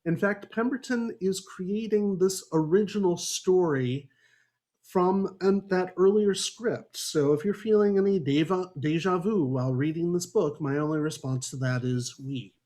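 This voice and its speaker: Wry, higher-voiced man hosting a podcast. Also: unhurried, mellow. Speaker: podcast host. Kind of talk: semi-scripted book discussion introduction with ad-libbed asides. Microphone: online group call.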